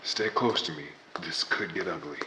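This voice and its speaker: A man playing a gruff, wisecracking tough-guy hero. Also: low-pitched, moderate pace, bright, forceful tone, slight background noise, low-quality recording. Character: gruff reluctant hero, a baker and war veteran. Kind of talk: 1940s radio drama acting.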